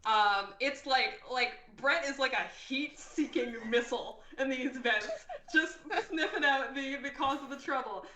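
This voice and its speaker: A woman's voice: high voice, a little slow, hardly any pauses, background noise. A woman running a tabletop role-playing game as game master, improvising narration for the players. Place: indoor room, live online stream. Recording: roomy, echoey sound.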